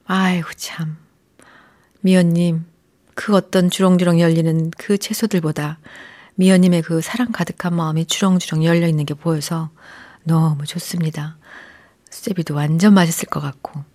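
A middle-aged woman hosting a radio show in a studio, chatting gently with listeners and often softening into a breathy near-whisper. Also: lowish voice, fairly even intonation, moderate pace. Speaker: radio host. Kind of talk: warm radio chat reading listener messages. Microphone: studio microphone.

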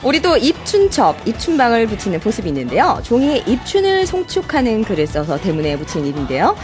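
A woman co-hosts a radio show and explains things in a clear, teacherly way. Her pitch is fairly high and jumps widely, with music playing clearly behind her. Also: huge pitch swings, quick pace. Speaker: radio co-host, Chinese teacher. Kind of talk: radio hosting.

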